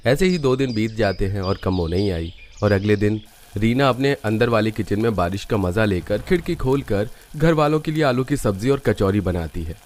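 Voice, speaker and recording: A man narrating a cartoon story in a steady, scripted storytelling manner. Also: on the low side, normal speed, slight background noise.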